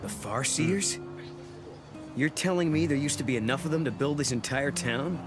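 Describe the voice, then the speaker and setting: An actor playing a young adventurer in a video game, casual and a little guarded. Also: male voice, medium pitch, normal speed, close to an everyday voice. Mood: surprised. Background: game music.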